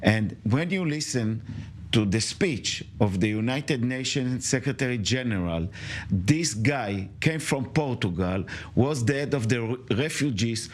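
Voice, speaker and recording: A man's voice: medium pitch, slight background noise. Emphatic man with an Israeli accent. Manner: emphatic, halting non-native English. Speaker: studio commentator. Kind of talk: news panel commentary.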